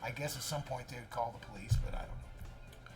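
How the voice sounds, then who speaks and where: A man co-hosting a casual discussion show, giving his opinions in an emphatic, plain-spoken, halting way. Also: mid-range voice, soft background music. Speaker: co-host of a livestream news show.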